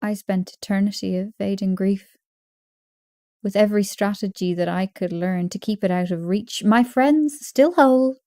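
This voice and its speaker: A woman giving an expressive poetry reading, with measured, earnest and dramatic phrasing. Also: medium pitch, no room sound, clean studio sound. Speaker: poetry narrator.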